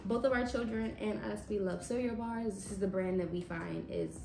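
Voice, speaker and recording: A woman's voice: medium pitch, moderate pace, creaky, recorded from a distance, noisy background. A young woman casually walking through her groceries in a home kitchen, chatty and matter-of-fact, recorded by a camera a few feet away. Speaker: vlogger. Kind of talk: casual grocery haul walkthrough. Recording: roomy kitchen echo from across the counter.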